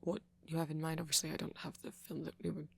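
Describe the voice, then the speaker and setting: A man playing a shy, nervous young vampire in an ASMR roleplay, stammering softly and apologetically with a British accent. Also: a little high, level tone, heavily acted. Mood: shy, flustered. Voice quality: soft, breathy, often near whisper. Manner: stammering, halting, flustered and apologetic. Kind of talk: ASMR boyfriend roleplay, intimate acting.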